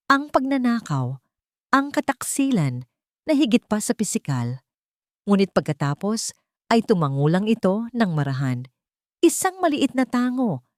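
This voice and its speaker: A woman's voice: mid-range voice, darkish tone, no room sound, clean studio sound. A woman with a Filipino accent narrating a dramatic story from a script. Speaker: audiobook narrator.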